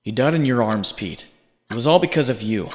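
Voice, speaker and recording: A man's voice: mid-range voice, expressive, a little fast, lightly acted. A man playing a young hero's brooding, guilt-ridden inner voice in a comic dub, sardonic and intense in second-person narration. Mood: accusing. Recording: long cavernous reverb.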